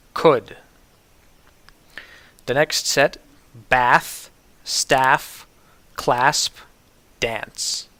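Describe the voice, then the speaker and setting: A man with a New York City American accent gives an instructional pronunciation demonstration, enunciating words precisely and deliberately. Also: medium pitch, sharp tone, no echo. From New York City, United States. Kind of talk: instructional demonstration with word lists.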